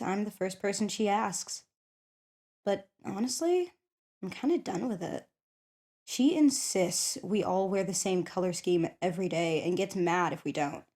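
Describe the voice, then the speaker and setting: A woman's voice: mid-range voice, mellow, close to an everyday voice. A woman playing a shy but eager popular schoolgirl in an ASMR roleplay, chatting hesitantly and thinking aloud. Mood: fed up, confiding. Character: shy, eager popular schoolgirl curious about tabletop games. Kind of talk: ASMR roleplay, one-sided conversation.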